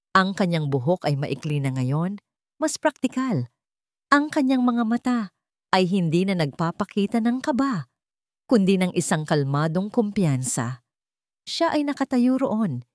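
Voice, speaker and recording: A woman narrating an audiobook story in a deliberate, emotive, dramatic style with pauses for effect. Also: on the low side, a little mellow, no room sound, clean studio sound.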